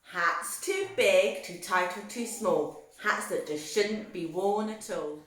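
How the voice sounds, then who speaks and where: A woman's voice: mid-range voice, takes it slowly, fairly bright tone. A woman reading a rhyming children's picture book aloud. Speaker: storybook reader. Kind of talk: scripted children's rhyme read aloud. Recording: very echoey roomy sound.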